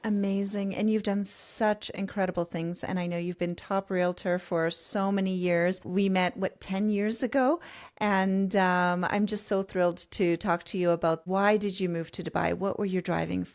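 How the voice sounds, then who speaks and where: A woman's voice: mid-range voice, fairly even intonation, normal speed. A woman hosting a casual podcast interview, gushing and encouraging toward her guest.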